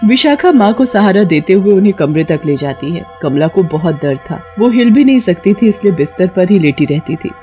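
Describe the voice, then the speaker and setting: A woman's voice: lowish voice, animated, a little fast, hardly any pauses, muffled recording, soft background music. A woman reading scripted cartoon story narration as the narrator.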